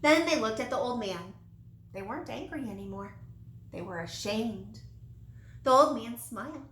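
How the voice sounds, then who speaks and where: A woman's voice: fairly high pitch, animated, moderate pace, a bit bright. A woman reading a children's story aloud, as a teacher would to young listeners. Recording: echoey room with light background noise.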